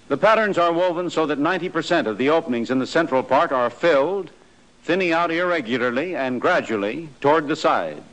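Male narrator, slightly higher voice, measured instructional read, 1940s film soundtrack. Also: animated, fairly bright tone, low-quality recording. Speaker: narrator of army training film. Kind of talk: scripted documentary narration.

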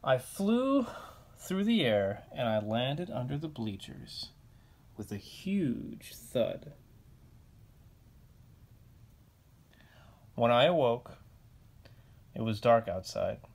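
A man voicing a self-confessed bad sunflower seed from a children's picture book, with dramatic, deliberate delivery. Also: medium pitch, sing-song, a little mellow, heavily acted. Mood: wistful, dramatic.

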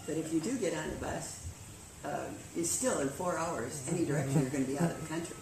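An older woman telling cruising stories in a lively way, with easy laughs. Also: low voice, fairly quick, creaky, a crowd in the background. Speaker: cruising rally organizer. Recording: echoey, distant, noisy veranda.

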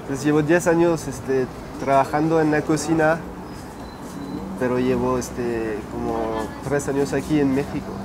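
A man's voice: medium pitch, steady tone, a little slow. Man in his twenties, French accent, hesitant interview answers, background noise. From France. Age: 20s. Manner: hesitant, many fillers and restarts. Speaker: chef. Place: restaurant dining room, indoors. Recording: noisy restaurant ambience.

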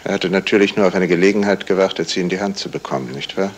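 An actor playing a composed, probing male detective in a 1970s radio crime play, asking formal, measured, pointed questions in a gravelly voice. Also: sits low. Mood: matter-of-fact, pointed. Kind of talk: 1970s German radio crime drama acting.

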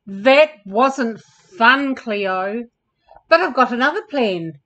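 A woman's voice: on the high side, lively intonation, slow, fairly bright tone, dull audio. A woman voicing an earnest, insecure male camel with big plans in a children's storybook, plaintive and eager.

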